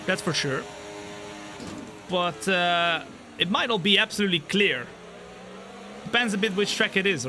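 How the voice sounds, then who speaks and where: A man in his twenties doing live commentary on a racing game while chatting with viewers, excitable during race action and relaxed in between. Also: background noise. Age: 20s. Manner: excitable, jumps high when the race action happens, laid-back when chatting. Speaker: sim racing streamer. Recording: game music behind the voice. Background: race car engines and game music.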